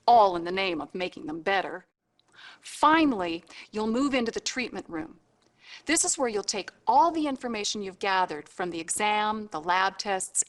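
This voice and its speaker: A brassy, no-nonsense hospital admitting nurse from a video game acted by a woman. She talks in a sassy, bossy, informal way, with wisecracks and a mid-pitched voice. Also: a bit bright, close to an everyday voice. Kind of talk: scripted video game cutscene acting.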